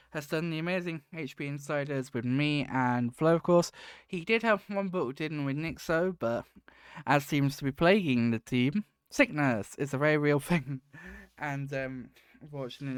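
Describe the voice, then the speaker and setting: Male podcast host, casual and meandering, slightly sniffly; crisp voice, dead-dry close mic. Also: medium pitch, dry recording. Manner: rambling, self-correcting, warmly grateful. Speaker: podcast host and project lead. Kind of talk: casual solo podcast talk.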